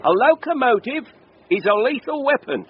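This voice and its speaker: A man's voice: very high-pitched, sing-song, moderate pace. A man playing a chatty, chuckling veteran British train driver in a radio drama, wry and blunt. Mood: darkly jovial. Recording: dull, noisy radio recording.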